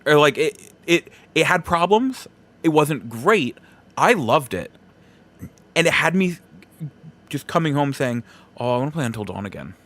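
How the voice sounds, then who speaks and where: A man hosting a solo podcast, giving casual commentary in a halting, rambling way and repeating himself for emphasis. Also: a little high, very animated, fairly bright tone. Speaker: podcast host.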